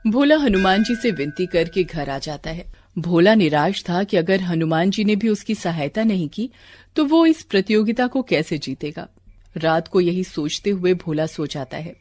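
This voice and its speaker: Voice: medium pitch, expressive, normal speed, a little mellow. A narrator reading a scripted moral story. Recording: clear background noise.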